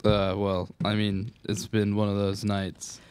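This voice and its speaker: A man's voice: fairly low pitch, monotone, unhurried, natural voice. A young man acting a tipsy, rambling male film star in live radio theatre. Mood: tipsy, awkward. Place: college classroom stage, indoors. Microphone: stand microphone. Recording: loud background noise, live stage.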